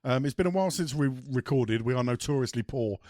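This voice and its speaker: A man's voice: medium pitch, lively intonation, quick pace, a little mellow, no room sound, studio quality. Chatty man hosting a podcast, a bit snotty. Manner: chatty, rambling, many ums and restarts. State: has a cold. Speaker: podcast host. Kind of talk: casual chat.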